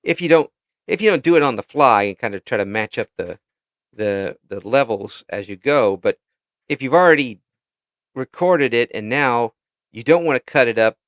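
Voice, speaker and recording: A man chatting casually as an interviewer, halting and given to chuckling as he talks. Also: mid-range voice, lively intonation, a bit bright, no echo, highs cut off.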